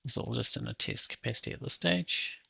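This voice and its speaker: A man with a gravelly, husky voice talking casually to himself as he hosts a think-aloud software tutorial. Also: medium pitch, huge pitch swings, fairly quick, no room sound. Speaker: app developer hosting a coding session.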